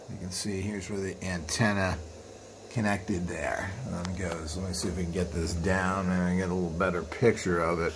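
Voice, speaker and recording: A man with a fairly low voice talks through equipment in an informal, explanatory way. He rambles as he goes, like a channel host filming by hand in a workroom. There is clear noise and room ambience behind him. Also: fairly bright tone. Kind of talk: informal hands-on product walkthrough.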